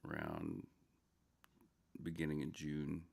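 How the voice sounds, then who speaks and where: Gravelly, low-voiced man on a sleepy morning stream. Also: very animated, slow, darkish tone. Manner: drowsy, rambling, greets chatters by name. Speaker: livestream host. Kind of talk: casual morning chat with viewers.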